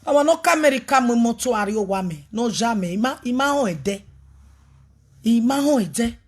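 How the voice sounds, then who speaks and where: A woman with a Nigerian accent, talking emphatically as a prophetess on a live video, swinging between loud outbursts and a lowered voice. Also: lively intonation, brisk. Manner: emphatic, switches between pidgin and a local language.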